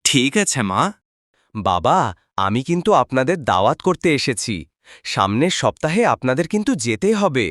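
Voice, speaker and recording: A man's voice: mid-range voice, big pitch swings, normal speed, a bit bright, dry recording, studio quality. A man voicing a considerate, agreeable young village husband in a cartoon folk tale. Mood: respectful. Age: young adult.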